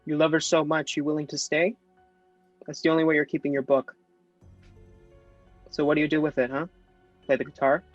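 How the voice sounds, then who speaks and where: A man's voice: on the high side, completely flat delivery, fairly quick, long pauses, no echo, muffled recording, faint music behind, pitched above the performer's own voice, lightly acted. A man voicing a contemptuous male official who taunts a boy in a table read. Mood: taunting. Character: sneering male airport official.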